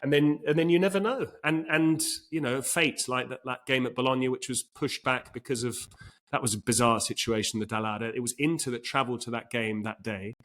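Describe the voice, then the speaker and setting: A man's voice: medium pitch, fairly even intonation, brisk, fairly bright tone. A man hosting a casual football podcast, thoughtful and given to restarts.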